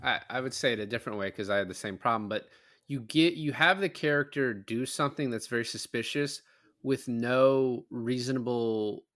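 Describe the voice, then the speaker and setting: Podcast panelist, male. Sharp, bright tone, very dry recording. Emphatic, profane, opinionated film chat. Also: mid-range voice, normal speed, dry recording. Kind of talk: casual film discussion.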